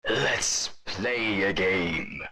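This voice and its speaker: A man's voice: sits low, sharp tone, full character voice. An actor playing an animatronic pirate fox in an audio drama, a hearty, pushy showman talking in theatrical pirate fashion. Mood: playful menace.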